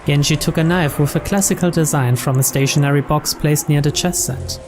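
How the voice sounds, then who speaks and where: A man with a fairly high voice reads story narration from a visual novel aloud, with game music clearly playing behind him. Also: fairly even intonation, fairly quick. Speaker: let's-play narrator reading game text aloud.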